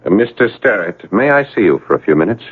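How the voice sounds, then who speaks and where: An actor playing a concerned young family doctor in a 1970s radio drama, speaking courteously and tentatively. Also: male voice, sits low, big pitch swings, quick pace, slightly rough, heavily acted. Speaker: actor in a radio mystery play. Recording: narrowband old radio broadcast.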